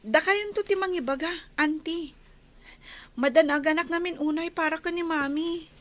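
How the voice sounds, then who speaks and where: An actress playing a devoted, anxious young daughter, respectful and pleading, in a radio drama. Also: female voice, extremely high pitch, normal speed. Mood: worried, insistent. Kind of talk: Ilocano radio drama acting.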